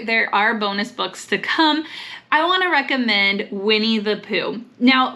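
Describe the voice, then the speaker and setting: A woman's voice: on the high side, pushed and bright. A woman giving upbeat book recommendations as a vlog host, speaking with enthusiasm and emphasis. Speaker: host, homeschool mom recommending books.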